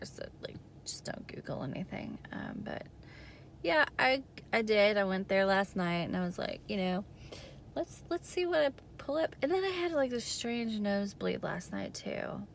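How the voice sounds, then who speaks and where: A woman giving a casual video diary update in a hoarse, raspy voice, hesitant and rambling with lots of fillers. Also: mid-range voice, lively intonation, normal speed, slight background noise. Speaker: vlogger giving a health update.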